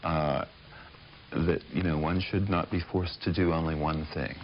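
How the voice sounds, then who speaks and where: Low deep guy, author on a talk show, lots of uhs, kinda muffled audio. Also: fairly even intonation, measured pace. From Pittsburgh, United States. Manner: thoughtful, hesitant with many fillers. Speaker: author and guest. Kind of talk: casual interview answers. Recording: muffled broadcast audio with faint hiss.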